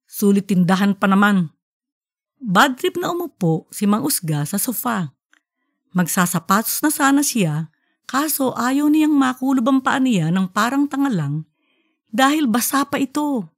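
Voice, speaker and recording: A woman's voice: high voice, sing-song, measured pace. A woman with a Filipino accent hosting a podcast and narrating an audiobook story.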